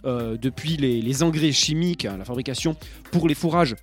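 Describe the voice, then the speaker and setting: A man's voice: medium pitch, moderate pace, faint music behind. A man hosting a community radio show, reading announcements with many hesitations and restarts. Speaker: radio host.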